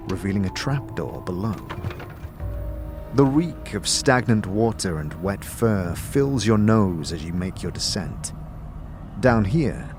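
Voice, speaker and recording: A man narrating a fantasy audio drama in the second person, with dramatic, atmospheric storytelling. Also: on the low side.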